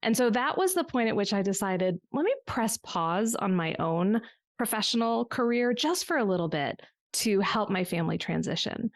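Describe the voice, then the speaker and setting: Podcast guest, woman, forties, reflective storyteller, some vocal fry. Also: mid-range voice, expressive, normal speed, no echo, clean studio sound. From United States. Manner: reflective storytelling with small restarts. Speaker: business coach guest. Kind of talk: conversational podcast interview.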